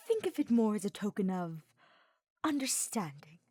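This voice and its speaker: A woman voicing a brooding, reserved male dark mage in a fantasy audiobook, speaking formally and grudgingly. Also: medium pitch, very animated, moderate pace, slightly rough, dry recording, clean studio sound, voice pushed up.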